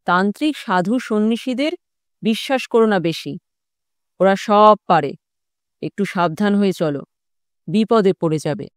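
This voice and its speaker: Female reader as wary villager giving warnings, mid pitch, dry audiobook sound. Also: a little slow, long pauses, dry recording, close to an everyday voice. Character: cautioning villagers warning a young seeker. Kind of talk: audiobook character dialogue.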